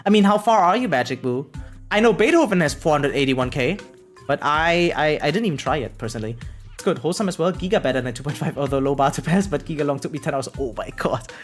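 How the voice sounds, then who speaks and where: A game streamer chatting casually with viewers, excitable and sweary, reacting aloud and reading bits of game text. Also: medium pitch, fast, soft background music.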